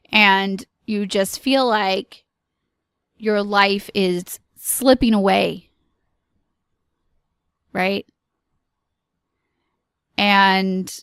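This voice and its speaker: Woman podcast host, mid pitch, unhurried, sincere and encouraging, dry close mic. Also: a bit bright, dry recording. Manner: earnest, encouraging, slow with pauses and filler ums. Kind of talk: solo podcast monologue.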